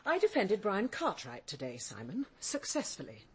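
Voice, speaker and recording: A stern English woman barrister and cold mother for radio drama. Her voice is slightly rough and moves expressively up and down. She speaks articulately, with caustic control. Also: mid-range voice, huge pitch swings, moderate pace, a bit bright, heavily acted. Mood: accusing, threatening.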